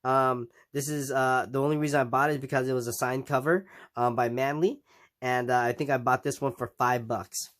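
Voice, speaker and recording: A man's voice: mid-range voice, steady tone, fairly quick, fairly bright tone. Man, nasal voice from a cold, casual vlog host, rambling with lots of ums. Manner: rambling, many ums and restarts. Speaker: comic collecting vlogger. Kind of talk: casual show-and-tell vlog.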